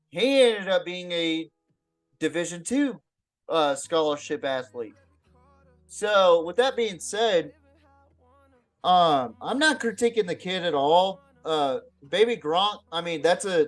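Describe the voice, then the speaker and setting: A man's voice: sits high, animated, slow pace, long pauses. A man hosting a casual, unscripted football podcast, talking bluntly with swearing and often repeating words as he goes. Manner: blunt, profane. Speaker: sports podcast host. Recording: music behind the voice. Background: music.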